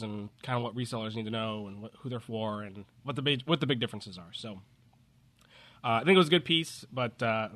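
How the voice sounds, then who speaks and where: A man's voice: medium pitch, pushed and bright, dry recording. A man hosting a podcast in casual, joking chat, congested from a cold. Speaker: podcast host.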